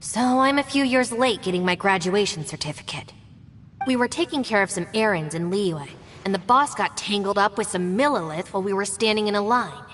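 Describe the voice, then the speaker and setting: A woman voicing a calm, capable young gang member. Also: medium pitch, brisk, slight background noise, close to an everyday voice. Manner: composed, matter-of-fact. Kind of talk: video game voice acting. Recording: game audio with long reverb.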